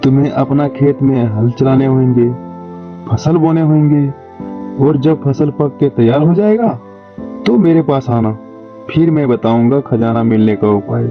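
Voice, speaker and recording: A man's voice: mid-range voice, unhurried, close to an everyday voice. A man voicing a patient old village sage giving instructions in a cartoon story. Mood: patient, knowing. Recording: phone-like thin sound, faint music.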